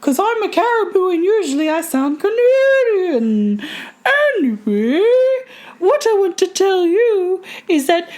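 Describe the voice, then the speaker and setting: A high, singsong caribou with an Australian accent. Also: female voice, sing-song, a little mellow, full character voice. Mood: cheery. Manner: sing-song, slow and cheery. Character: whimsical rhyming female caribou. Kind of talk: comic sponsor read.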